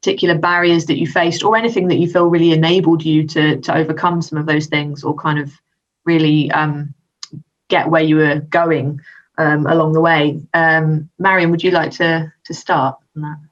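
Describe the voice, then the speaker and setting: A woman moderating a panel, asking gentle questions padded with fillers. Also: low voice, little pitch movement, moderate pace. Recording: distant, dull lo-fi sound.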